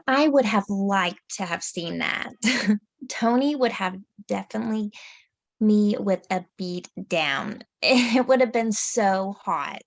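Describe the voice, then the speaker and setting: Snobby rich girl, smug, slow, dry close sound, woman reading. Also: mid-range voice, lively intonation, creaky, dry recording. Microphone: video call mic.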